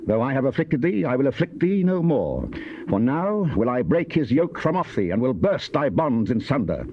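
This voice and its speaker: A man's voice: mid-range voice, sing-song, normal speed. A man acting a grave, wrathful Old Testament prophet in a solemn, declamatory scripture dramatization. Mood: solemn, assuring. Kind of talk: dramatized King James scripture reading. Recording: dull, noisy cassette tape.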